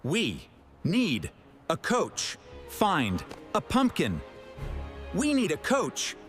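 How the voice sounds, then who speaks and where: Man voicing a highly animated storybook dad. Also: soft background music, exaggerated voice. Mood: purposeful. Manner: deliberate, expressive, emphatic. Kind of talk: slow scripted English lesson story dialogue.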